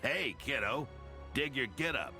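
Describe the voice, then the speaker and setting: Cocky gravelly male showboat executioner. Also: mid-range voice, lively intonation, unhurried, bright, forceful tone, soft background music, full character voice. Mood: smug. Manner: swaggering, mocking. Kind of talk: video game voice lines.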